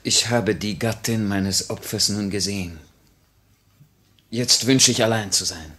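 An actor playing a proud, idealistic young revolutionary prisoner in a 1950 radio play, terse, earnest and defiant. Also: male voice, lowish voice, unhurried, pushed and bright. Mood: guarded.

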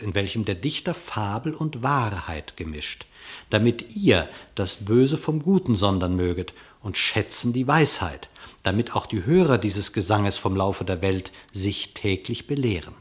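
Low-voiced man narrating a verse fable. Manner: measured, expressive storytelling. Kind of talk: scripted narration of verse epic.

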